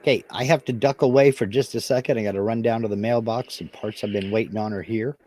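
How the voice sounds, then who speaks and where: A man's voice: lowish voice, fairly even intonation, fast talker, a little mellow. Easygoing man in casual chat.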